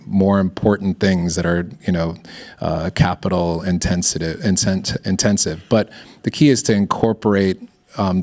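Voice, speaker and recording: A man giving a conversational, thoughtful panel answer as a business founder, halting and circling back on his phrases. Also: low-pitched, a little mellow. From born in Ethiopia.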